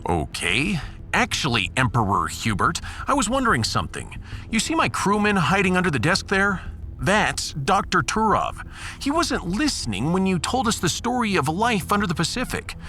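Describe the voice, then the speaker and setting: A man's voice: sits high, sing-song, moderate pace, pushed and bright, full character voice. A man voicing a brash starship captain with a punchy, colloquial, commanding manner in a sci-fi audiodrama. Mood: wry stalling. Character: brash, fast-deciding starship captain rallying the crew.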